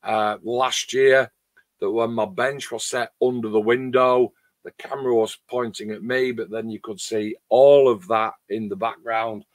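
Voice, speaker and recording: A middle-aged man with a loud voice, chatting casually and in a rambling way as host of a hobby livestream. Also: medium pitch, steady tone, a little slow, no room sound. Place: home garage workshop shed.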